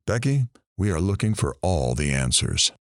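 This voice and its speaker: A man's voice: low voice, big pitch swings, moderate pace, no echo. A man playing a wry radio news host and interviewer in an audio drama, with a dry sense of humour. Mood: inquiring.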